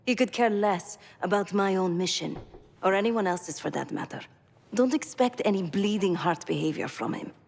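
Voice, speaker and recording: An actress playing a cool, self-possessed mercenary operative in a video game, with a measured, dry, wry way of talking. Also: female voice, mid-range voice, vocal fry, darkish tone, long reverb tail. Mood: dismissive, guarded. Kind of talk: video game cutscene acting.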